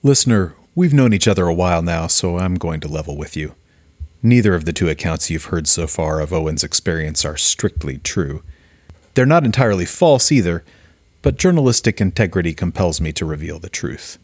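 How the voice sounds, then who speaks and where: A male host narrates an audio play in a deep voice. He addresses the listener directly with mock gravity. The recording is very dry, with no room sound. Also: animated, fairly quick, a little mellow, dry recording.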